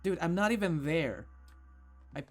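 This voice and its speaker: A man's voice: fairly high pitch, lively intonation, unhurried, soft background music. A man doing casual game commentary, playful, with muttered asides and exclamations. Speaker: gaming video host.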